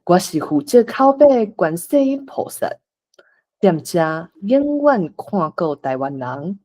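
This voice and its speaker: A woman with a Taiwanese accent voicing a kindly guardian bodhisattva in a folk-tale play, with drawn-out storytelling delivery. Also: fairly low pitch, sing-song, mellow, full character voice. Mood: benevolent, solemn.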